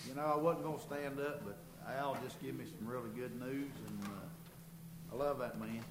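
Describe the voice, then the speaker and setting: A man giving an earnest, halting church testimony, his voice nasal and congested from illness and starting to give out. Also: mid-range voice, steady tone. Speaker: congregation member giving testimony. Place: church sanctuary. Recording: echoey room with background noise.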